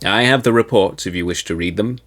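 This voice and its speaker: A man's voice: lowish voice, brisk, a bit bright, lightly acted. A man voicing a diligent, deferential aide in a far-future audiobook, speaking respectfully and carefully.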